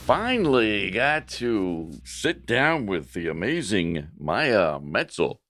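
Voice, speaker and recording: Low-voiced male podcast host who jokes and teases his co-host. His voice sits deep from allergies, but his pitch swings widely as he talks. Music plays behind him. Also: sing-song, slow pace, a bit bright. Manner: joking, teasing. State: voice lowered by allergies. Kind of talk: casual chat. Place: South Carolina.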